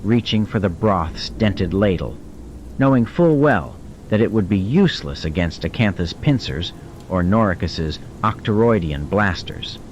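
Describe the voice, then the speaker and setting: Male narrator, very low register, dramatic storytelling over clearly audible effects. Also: expressive, a little slow, highs cut off, a little hiss or hum. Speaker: audiobook narrator.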